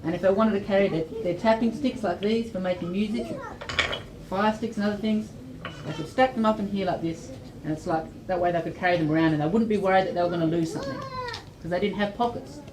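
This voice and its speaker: A woman's voice: fairly low pitch, background noise. An Australian woman giving an informal educational talk to young children, explaining simply and often putting questions to them. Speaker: storyteller and presenter at a library children's event. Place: indoor library event room. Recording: echoey room, distant mic, children's chatter.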